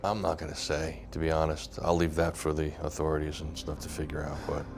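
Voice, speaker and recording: A man's voice: a bass voice, monotone, a little fast, faint music behind. A man as an interview guest, speaking candidly in a plain, firm manner. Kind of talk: candid personal reflection.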